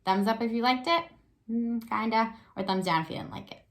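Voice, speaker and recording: A woman's voice: on the high side, a little fast. Woman, elementary teacher. Read-aloud lesson for young pupils, encouraging, explains simply and keeps checking understanding. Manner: encouraging, simple questions, frequent check-ins.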